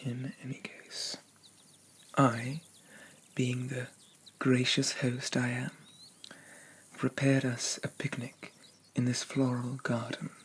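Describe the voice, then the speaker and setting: A man playing a haughty, possessive demon prince of dreams in an ASMR roleplay, speaking formally and pompously in a soft, often whispered voice. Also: medium pitch, monotone, takes it slowly, pauses often, slightly rough. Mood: regal, condescending. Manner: pompous, formal, archaic diction. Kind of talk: ASMR roleplay, addressing the listener.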